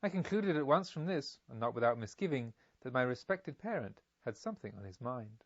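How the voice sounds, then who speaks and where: A man reading literary narration in a measured, formal and expressive storytelling manner. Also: mid-range voice, dry recording, lo-fi sound. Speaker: audiobook reader. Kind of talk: scripted literary narration in first person.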